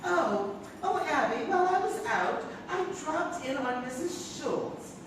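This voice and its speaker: A woman's voice: sits high, animated, a little slow. A woman playing a dotty, kindly elderly aunt in a live stage comedy, genteel and unruffled. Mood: placid, chatty. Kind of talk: stage comedy radio-theatre acting. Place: live theatre, distant microphone. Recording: roomy, noisy stage recording from far off.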